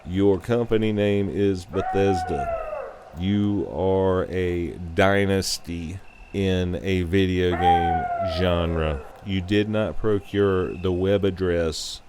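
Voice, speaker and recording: A man's voice: deep voice, big pitch swings, slow, heavy background noise. A man hosting a radio-style show with a casual, sarcastic, profane and opinionated rant.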